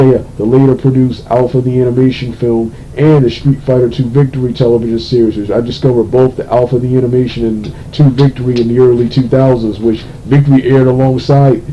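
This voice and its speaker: A man delivers an off-the-cuff rant in a flat, even voice at a middling pitch. He stumbles along, doubling back on phrases. The recording is dull and lo-fi, with a faint layer of rumbling noise. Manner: halting, repeats and restarts phrases. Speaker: video creator giving a rant. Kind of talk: unscripted opinion rant about a cartoon.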